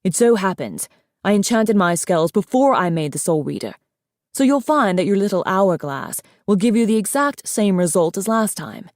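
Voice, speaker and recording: A woman's voice: fairly low pitch, normal speed, hardly any pauses, a little mellow, no room sound, studio quality, close to an everyday voice. A woman voicing a young male dragon in an audiobook, arrogant, brusque and sarcastic, with a defensive hot temper. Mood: snappish, defensive.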